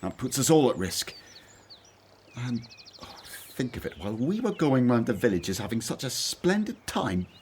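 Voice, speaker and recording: An earnest, conscientious man played by an actor in a radio play, with a lively, emphatic delivery. He is easily stirred, and there is faint noise behind him. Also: mid-range voice, moderate pace, natural voice. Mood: shocked, anxious. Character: earnest, conscientious male disciple, excitable and easily worried. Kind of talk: radio drama acting.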